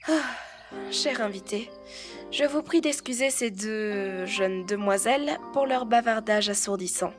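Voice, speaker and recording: A woman's voice: medium pitch, measured pace, music in the background, close to an everyday voice. A woman playing a stern, refined head of manor staff in a French roleplay audio drama, speaking in a formal, authoritative, haughty way. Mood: courteous, composed.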